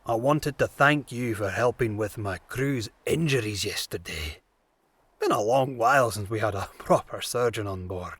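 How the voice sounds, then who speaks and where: An actor playing a pirate captain in an audio drama, courteous and unhurried with quiet menace underneath. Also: male voice, lowish voice, slightly rough, no echo. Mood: genial. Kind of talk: fullcast audio drama acting.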